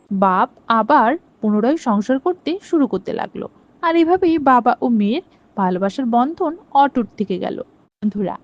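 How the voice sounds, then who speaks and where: A woman narrating a cartoon story in a steady, simple storytelling way and talking to her channel viewers. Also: sits high. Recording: dull lo-fi with faint hiss.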